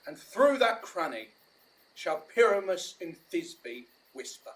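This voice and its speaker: A man's voice: high voice, big pitch swings, slow pace, far from the mic, noisy background, exaggerated voice. A man playing a bumbling, self-important amateur actor in a Shakespearean audio drama, declaiming pompously. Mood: earnest, scheming.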